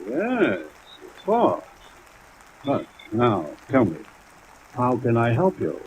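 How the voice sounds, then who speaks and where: A man playing a wealthy, genteel, guarded husband in a 1950s radio drama, speaking politely and formally with a smooth evasiveness, in an old recording. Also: medium pitch, huge pitch swings, takes it slowly. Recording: thin, phone-like old radio recording, rain noise.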